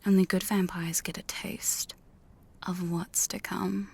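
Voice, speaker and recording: A woman's voice: lowish voice, little pitch movement, darkish tone, exaggerated voice. A woman playing a teasing, domineering female vampire hunter in a mostly whispered, seductive ASMR roleplay. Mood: seductive, commanding. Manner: slow, breathy, seductive, commanding. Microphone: close studio condenser microphone.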